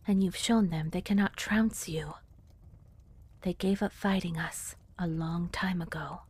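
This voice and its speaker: Actress as loving, anxious wife for podcast drama. Breathy near-whisper, unhurried, earnest. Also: female voice, lowish voice, steady tone. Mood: worried, pleading. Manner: gentle, earnest, hushed. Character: worried, loving wife and mother pleading gently. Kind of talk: audio drama acting.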